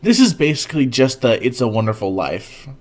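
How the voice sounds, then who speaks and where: A man's voice: medium pitch, sing-song, a little fast, a little mellow. A man who hosts and narrates stories, reading in an earnest, dramatic way and chatting casually about them. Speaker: host and story narrator.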